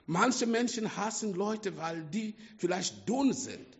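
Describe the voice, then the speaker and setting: A man preaching a sermon to a small congregation in German with a non-native accent, slow and emphatic, swinging between hushed and loud. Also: high voice, expressive. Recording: dull lo-fi sound with long hall reverb.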